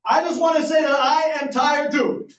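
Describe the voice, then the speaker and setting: A man, a physician running for office, giving an energetic campaign speech and polling the room with rhetorical questions. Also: very high voice, normal speed. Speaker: political candidate, physician. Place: community meeting room. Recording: echoey, distant and dull room recording.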